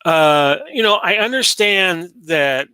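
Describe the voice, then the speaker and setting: A man's voice: sits high, expressive, slow pace, sharp tone, clear, resonant tone, no echo. A man in his late forties from Florida, hosting a solo talk show in a rambling, casual way full of fillers and restarts. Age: 40s. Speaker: podcast host.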